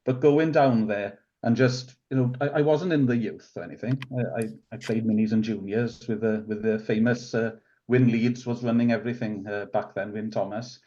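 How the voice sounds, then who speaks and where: A man in his forties with a Welsh accent, Welsh as his first language, talking in a relaxed, rambling way as a guest on a rugby podcast, full of restarts and fillers. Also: lowish voice, normal speed, dull audio. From Wales. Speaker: rugby club committee member, guest. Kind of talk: casual podcast interview.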